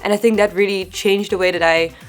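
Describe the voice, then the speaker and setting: A young woman from the Netherlands in her twenties, a graduate student speaking in a thoughtful, measured way in an interview testimonial. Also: mid-range voice, steady tone, faint music behind.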